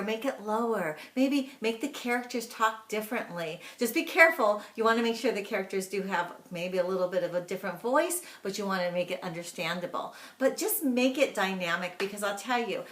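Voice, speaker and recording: A woman speaking as a teacher, giving instructional tips to parents in an animated, emphatic manner. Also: mid-range voice. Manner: animated, emphatic, acts out example questions. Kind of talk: instructional talk to camera. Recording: echoey room sound.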